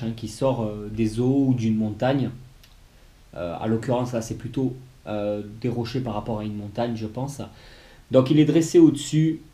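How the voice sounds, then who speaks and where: Mellow-voiced Frenchman with a cold. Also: medium pitch. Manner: hesitant, many "euh" fillers and restarts. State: has a cold, low on voice. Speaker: collectibles reviewer. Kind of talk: casual unboxing review.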